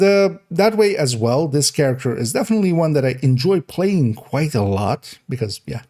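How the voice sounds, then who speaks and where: A man with a hoarse, raspy throat, streaming a game in casual, rambling talk, laughing at himself and restarting his intro. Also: medium pitch, a little mellow. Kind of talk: casual gaming stream chat.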